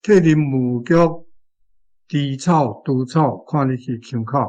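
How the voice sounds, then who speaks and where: A man's voice: mid-range voice, takes it slowly, darkish tone, highs cut off. A man with a Taiwanese accent speaking as a language teacher, explaining wording piece by piece. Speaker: language teacher giving model translations.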